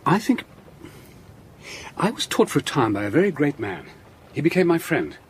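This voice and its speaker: An actor playing a caring young Victorian gentleman doctor in an audio drama, speaking formally and earnestly. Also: on the high side, huge pitch swings, normal speed, a little hiss or hum. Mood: earnest, reassuring. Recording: faint background ambience.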